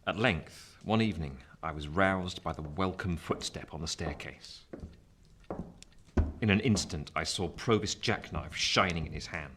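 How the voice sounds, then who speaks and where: An actor playing a gentleman narrating his own past, with a measured, literary delivery. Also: low-pitched, noisy background. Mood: grave, reflective. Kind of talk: scripted first-person narration.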